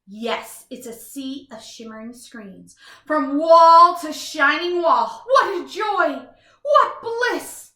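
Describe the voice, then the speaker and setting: A woman acts out a comfort-loving couch potato from a picture book, very pleased with himself. The voice is high and bright, leaping about in theatrical exclamations. It sounds in an echoey room. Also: lively intonation, takes it slowly, heavily acted. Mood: excited, boastful. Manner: exclamatory, theatrical, self-satisfied. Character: comfort-loving, boastful, easily thrilled male couch potato. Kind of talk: first-person children's storybook read-aloud.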